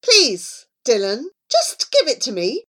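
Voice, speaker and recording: A woman voicing an anxious, excitable schoolboy who pleads and exclaims in a children's story. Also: high-pitched, a little slow, pitched above the performer's own voice. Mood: pleading.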